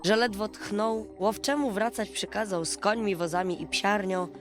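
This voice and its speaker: A woman storyteller reading a bedtime fairy tale in an expressive, dramatic, folksy way. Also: mid-range voice, moderate pace. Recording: music behind the voice. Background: music.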